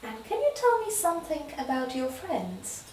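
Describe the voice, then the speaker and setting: A woman conducting a spoken English exam as the interviewer, giving clear, measured prompts. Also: sits high, wildly swooping pitch, darkish tone, echoey room, recorded from a distance, a little hiss or hum, poor audio.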